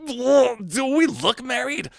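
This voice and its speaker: A man voicing a bossy, sharp-tempered young woman detective in a raised, edgy voice. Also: very high voice, animated, takes it slowly, vocal fry, dry recording, studio quality, voice pushed up, exaggerated voice. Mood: exasperated.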